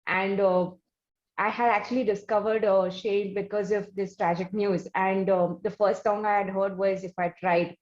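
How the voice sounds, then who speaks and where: Indian lady doing an interview, kinda halting, roomy echo and dull muffled sound. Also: mid-range voice, level tone, darkish tone. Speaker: interview host. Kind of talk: conversational interview. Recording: muffled, echoey room sound.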